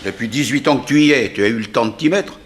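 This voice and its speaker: An actor playing a gruff, curt male prison guard in an echoey, noisy 1960s French radio play. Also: mid-range voice, fast talker, lightly acted.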